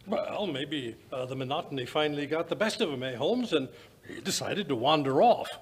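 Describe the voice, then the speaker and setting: An older man playing an excitable, blustering gentleman doctor and detective's sidekick, stammering in old-time radio style. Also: on the high side, normal speed, husky. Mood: affable. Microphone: shared stage microphone.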